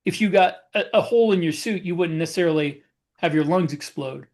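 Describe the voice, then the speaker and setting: A man's voice: on the high side, brisk, darkish tone. A man co-hosting a podcast as a science fiction author, explaining things in casual conversation.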